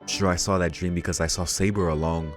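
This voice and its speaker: Low-voiced man reading game narration. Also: steady tone, a little fast. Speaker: let's play host. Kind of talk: reading visual novel narration aloud with brief comments. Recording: game music behind the voice. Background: game music.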